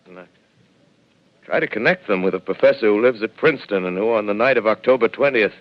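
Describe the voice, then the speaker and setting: A man's voice: low voice, close to an everyday voice. An actor playing a haunted male professor, telling his story in a solemn, reflective voice in a 1930s radio drama. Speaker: narrator. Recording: dull old radio recording.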